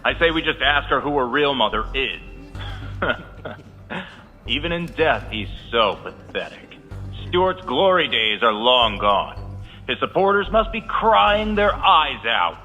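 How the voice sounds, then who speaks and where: A man's voice: mid-range voice, very animated, takes it slowly, sharp tone, faint music behind, full character voice. A man playing a scornful nobleman who jeers with open contempt, in a game cutscene. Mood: jeering. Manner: jeering, contemptuous. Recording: dull reverberant game audio.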